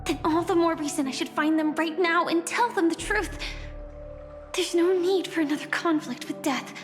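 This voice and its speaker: Video game role: determined young fighter, high voice, sincere, over clear background music. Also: female voice, moderate pace. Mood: resolute, urgent. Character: earnest, determined young female warrior with a mission. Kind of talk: scripted video game voice acting.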